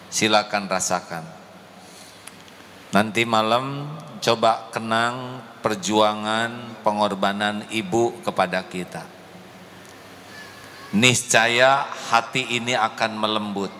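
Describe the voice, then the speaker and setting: Male preacher, middle-aged, slow and emotive, handheld mic, big reverberant mosque hall. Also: lowish voice, steady tone, bright, forceful tone, slight background noise. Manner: slow, deliberate, emotive, addresses the congregation. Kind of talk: mosque sermon. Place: large mosque hall, indoors. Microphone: handheld microphone. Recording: echoey hall with faint ambience.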